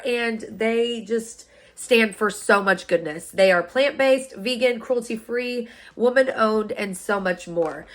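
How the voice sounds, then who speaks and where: Hoarse, stuffy-voiced woman chatting to viewers. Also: a little high, fairly bright tone. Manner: upbeat, chatty, addresses viewers directly. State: hoarse and stuffy from a sinus infection. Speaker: beauty vlogger. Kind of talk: product unboxing review.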